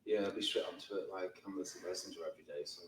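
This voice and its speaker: A man in an audience making a casual comment, halting and repeating his phrases. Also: low voice, steady tone. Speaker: audience member asking a question. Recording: distant, roomy, faint background noise.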